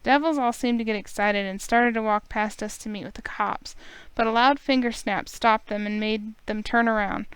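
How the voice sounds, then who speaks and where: A woman reading a visual novel aloud in steady first-person narration. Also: a little high, moderate pace, clean tone. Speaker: let's play reader.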